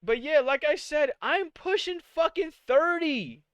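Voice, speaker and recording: Male host, late 20s, high crisp voice, dry close mic, profane exasperated streamer rant. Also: expressive, a little slow, fairly bright tone, no echo, dull audio. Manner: exasperated, profane, rhetorical questions. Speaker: podcast host. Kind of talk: live-streamed casual rant.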